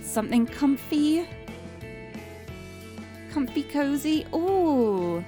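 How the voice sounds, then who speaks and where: A woman's voice: high-pitched, very animated, drawn-out words. Bubbly woman streamer, voice wearing thin. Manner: bubbly, chatty, restarts words often. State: voice getting tired and hoarse. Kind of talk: casual live-stream chat. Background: background game music.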